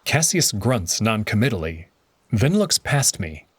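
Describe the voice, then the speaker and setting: A man's voice: on the low side, big pitch swings, measured pace, noisy background. A man giving reflective first-person narration of a visual novel, voicing its lead character.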